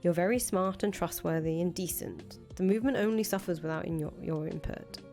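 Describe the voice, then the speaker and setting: Woman playing visual novel rebel leader: lowish voice, blunt, casual, volatile, music underneath. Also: brisk, mellow, lightly acted. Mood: pleading, frank. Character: brash, foul-mouthed revolutionary leader, volatile but attached to her friend. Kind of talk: visual novel dialogue reading. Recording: music behind the voice.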